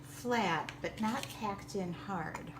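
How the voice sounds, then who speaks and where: A woman presents a recipe step by step in a very unhurried way, with simple, teacherly instructions. Her pitch sits in the middle range for a woman. Clear background noise runs behind her voice. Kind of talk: step-by-step cooking demonstration.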